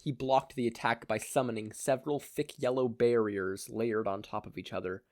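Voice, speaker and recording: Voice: medium pitch, fairly even intonation, moderate pace, dry recording, clean studio sound. A narrator reading a scripted fan fiction story aloud. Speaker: podfic narrator.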